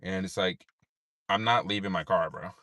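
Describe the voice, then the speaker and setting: A tired man with a deep voice, rambling in a laid-back way with many fillers while livestreaming from his parked car at night. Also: moderate pace, bright, forceful tone, no room sound. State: tired, unable to sleep. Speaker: streamer. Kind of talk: late-night livestream chat.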